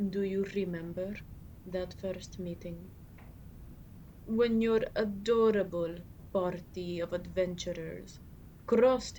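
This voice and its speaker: A woman playing a seductive, possessive vampire queen in a slow theatrical ASMR roleplay, coaxing and often sinking toward a whisper. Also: slight background noise, poor audio. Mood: teasing, menacing. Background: dark rumbling ambiance.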